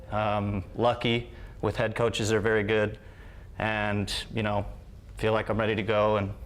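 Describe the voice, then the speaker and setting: A man, a soccer coach, answering interview questions in a studio in short, halting phrases. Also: lowish voice.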